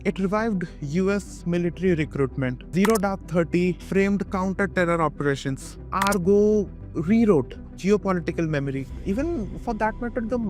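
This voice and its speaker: A man with an Indian accent narrating a scripted opinion video essay, emphatic and rhetorical, with dramatic pauses and repetition. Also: high-pitched, animated. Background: background music.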